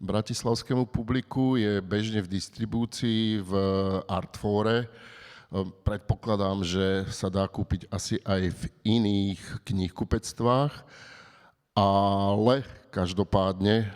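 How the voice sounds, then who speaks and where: A man from Slovakia introduces each panel speaker in a few hesitant words. His voice sits low for a man. The long reverb of a hall surrounds it. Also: steady tone, measured pace, darkish tone, smooth. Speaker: moderator.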